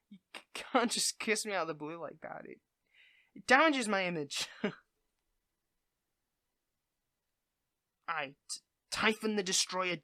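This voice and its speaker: A performer voicing a theatrical supervillain who is tender with a partner, in an intimate audio roleplay that moves between softness and grandiose menace. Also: mid-range voice, dry recording, clean studio sound. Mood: flustered. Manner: switches between soft affection and grandiose menace.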